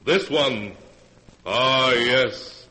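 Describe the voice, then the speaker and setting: A man hosting a 1940s radio horror series, narrating in a portentous, theatrical, measured style. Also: medium pitch, sharp tone. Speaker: radio announcer and host. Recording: vintage 1940s radio recording. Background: music.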